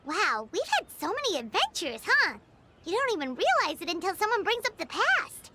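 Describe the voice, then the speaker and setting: A woman playing a small, excitable, cheeky fairy girl companion in a video game, with a squeaky, childlike voice and bossy chatter. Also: moderate pace, very noisy. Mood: chatty, pondering. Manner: exclaiming, speaks of herself in third person. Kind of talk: animated video game character acting. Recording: music behind the voice. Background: music.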